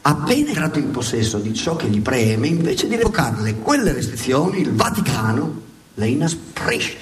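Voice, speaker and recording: Animated, ironic male stage storyteller. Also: mid-range voice, normal speed. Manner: emphatic, ironic, repeats words dramatically. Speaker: stage narrator. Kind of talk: satirical theatrical monologue storytelling. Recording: hissy radio theatre recording.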